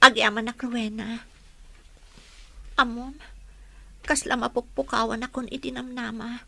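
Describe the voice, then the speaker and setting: High, bright, earnest young radio-drama heroine. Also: female voice, steady tone, unhurried, creaky, full character voice. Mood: subdued, determined. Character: earnest, distressed young woman left alone by her family. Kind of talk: Ilocano radio drama acting.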